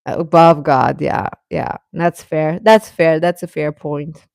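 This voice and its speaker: A woman hosting a casual livestream chat, answering viewers informally and haltingly, often repeating short phrases. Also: low-pitched, fairly even intonation, creaky, a little mellow, no echo, studio quality. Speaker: livestream host chatting with viewers.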